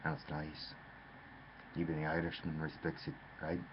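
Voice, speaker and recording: A man's voice: extremely low pitch, completely flat delivery, normal speed. A man telling a rambling, theatrical story, addressing the listener as "lad". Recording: dull lo-fi with faint noise.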